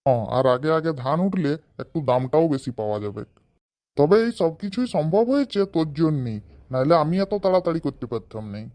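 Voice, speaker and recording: A hard-working, homely village father in a comedy cartoon, acted out by a performer. He talks quickly at a middling pitch. His manner is fond and comic. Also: big pitch swings, a little mellow, close to an everyday voice.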